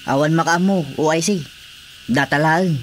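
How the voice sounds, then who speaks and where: A man's voice: fairly high pitch, moderate pace, slight background noise, lightly acted. A man playing an eager, sly village informant, a deferential comic underling in a radio drama. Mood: eager, conspiring. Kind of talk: comedic radio drama acting.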